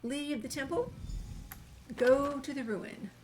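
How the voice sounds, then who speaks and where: A woman's voice: high-pitched, expressive, slow pace, noisy background. A woman gamer giving a chatty, casual walkthrough commentary, reading dialogue options aloud as she plays. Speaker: gamer narrating a game walkthrough.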